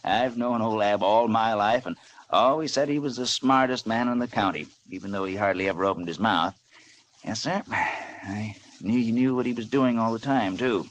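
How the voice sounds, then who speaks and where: An actor playing a folksy, chatty small-town doctor who avoids meddling, in an old-time radio drama. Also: male voice, on the low side, normal speed, muffled recording, close to an everyday voice. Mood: amused, chatty. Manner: folksy, chatty, rural idiom. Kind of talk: 1940s radio drama acting. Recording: old radio recording, faint noise.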